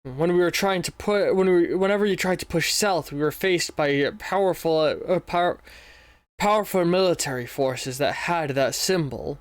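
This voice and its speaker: A man voicing an ancient male vampire who once fought as a soldier, speaking in a measured, halting way. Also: on the high side, smooth, dry recording, close to an everyday voice. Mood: grave.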